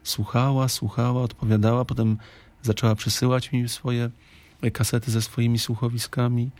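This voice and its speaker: A man speaking as a radio interview guest in a studio, reflective and halting in delivery. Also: mid-range voice, flat delivery, a little mellow.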